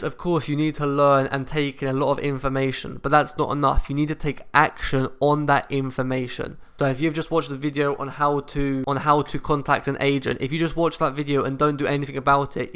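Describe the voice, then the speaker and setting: A young man hosting a football channel, giving direct, steady motivational advice to camera. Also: medium pitch, clear, resonant tone. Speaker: football career coach and channel host.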